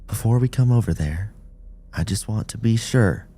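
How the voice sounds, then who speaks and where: A man's voice: lowish voice, moderate pace, mellow, pitched above the performer's own voice. A man voicing a polite rookie female police officer in an audiobook, asking questions courteously and carefully. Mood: gentle, cautious.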